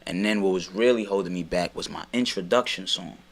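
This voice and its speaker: A man's voice: sits low, a little fast, gravelly, breathy edge. A young man from Brooklyn, a rapper guest talking through his process in a casual, laid-back, rambling way. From Brooklyn, New York. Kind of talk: casual sit-down chat.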